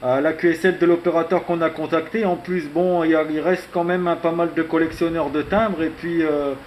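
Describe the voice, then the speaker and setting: A man chats casually as an amateur radio operator, in a conversational, halting way with frequent little tag words. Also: on the high side, steady tone. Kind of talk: casual radio contact chat. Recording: faint background hiss.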